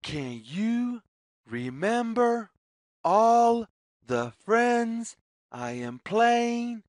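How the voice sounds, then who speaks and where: A storyteller reading a children's read-along story in deliberate, sing-song phrases with pauses. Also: medium pitch, big pitch swings, a little mellow, dry recording, clean studio sound. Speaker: read-aloud narrator.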